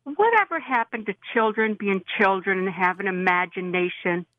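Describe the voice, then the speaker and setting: A woman's voice: medium pitch, normal speed, no echo. A woman calling in to a radio show over the phone, giving her opinion casually. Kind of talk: casual, opinionated call-in talk. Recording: tinny narrow phone line.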